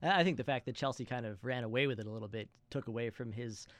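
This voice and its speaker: A man's voice: mid-range voice, quick pace, no room sound, clean studio sound. A man co-hosting a sports show, discussing soccer in a casual, conversational way. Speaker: soccer talk show co-host.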